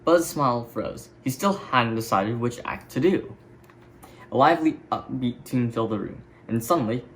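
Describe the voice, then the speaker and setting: A man's voice: medium pitch, fairly bright tone, a little hiss or hum, poor audio. A man reading a children's story as a scripted narration. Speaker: storybook reader.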